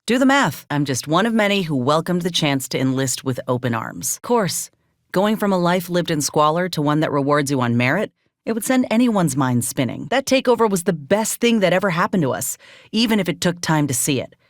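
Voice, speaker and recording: A woman's voice: low-pitched, sing-song, fairly quick, dry recording. A battle-worn frontier woman soldier in a video game, loyal and guarded. She talks in a folksy, plainspoken way with a dry, wry edge. The sound is dry, with no room on it. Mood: earnest, firm. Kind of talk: video game character dialogue.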